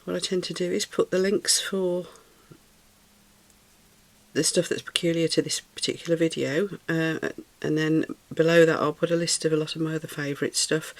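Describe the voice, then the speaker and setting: A British resin craft tutor who sounds a little snuffly and chats while working, thinking aloud and cooing over the materials. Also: mid-range voice. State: slightly snuffly, recovering from a cold. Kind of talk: casual hands-on craft tutorial.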